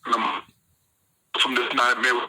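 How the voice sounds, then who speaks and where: A man's voice: medium pitch, flat delivery, unhurried. Man telling his story over a noisy phone. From Trinidad. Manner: rambling street-slang storytelling. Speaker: incarcerated guest on the phone. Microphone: phone call.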